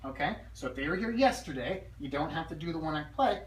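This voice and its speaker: A woman's voice: deep voice, expressive, fast talker. A woman working as a consultant, training staff hands-on through role-play in a directive way, drilling phrases and often checking they understand. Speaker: dental practice consultant and trainer. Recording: roomy, distant mic with background noise.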